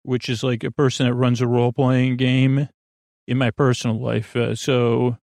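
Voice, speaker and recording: A man hosting a bedtime podcast in a rambling, halting monologue full of digressions, with a gravelly, creaky voice. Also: mid-range voice, little pitch movement, a little mellow, no echo, clean studio sound. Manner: meandering, halting, full of digressions and trailing thoughts. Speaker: podcast host.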